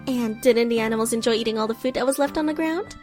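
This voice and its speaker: A woman playing a high-voiced, bubbly harvest goddess. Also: fast talker, a little mellow, soft background music, lightly acted. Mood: gently encouraging. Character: bubbly, self-important, motherly harvest goddess. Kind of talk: fan radio play acting.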